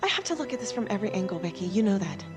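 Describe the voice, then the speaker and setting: A woman's voice: medium pitch, big pitch swings, racing, over music, natural voice. A woman playing an earnest, anxious character in a naturalistic crime-thriller game, speaking hesitantly and pleadingly. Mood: insistent. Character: earnest, anxious woman questioning a detective friend.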